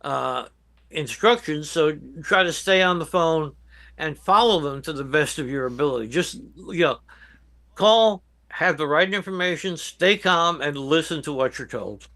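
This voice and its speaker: An older man with an American accent giving measured, emphatic advice as an expert guest in an interview. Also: a little high, lively intonation, bright, forceful tone. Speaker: guest expert, veteran emergency dispatch professional. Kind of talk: interview answers, explaining and advising.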